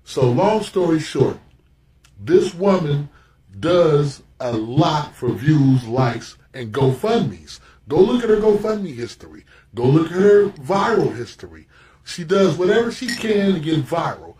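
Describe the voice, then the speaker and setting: A man's voice: on the high side, big pitch swings, a little slow, echoey room, far from the mic, crowd noise. A man ranting emphatically to his followers in a recorded social media video.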